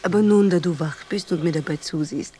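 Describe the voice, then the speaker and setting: A woman's voice: on the low side, brisk, mellow, a little hiss or hum, lightly acted. An actress in a German radio play, playing a cynical, scheming young woman with a brazen, teasing manner that slips into whispers. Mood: sly, recounting. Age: young adult.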